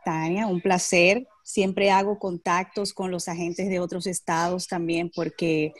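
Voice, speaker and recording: Woman real estate agent, lower voice, halting, filler-heavy self-introduction. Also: level tone, no room sound. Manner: many hesitation fillers. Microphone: video call.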